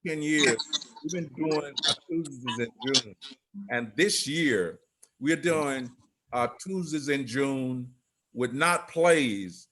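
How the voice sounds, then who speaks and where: An older man giving informal remarks on a group video call in a halting, rambling way. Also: medium pitch, fairly bright tone, slight background noise, rough recording. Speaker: theatre company leader.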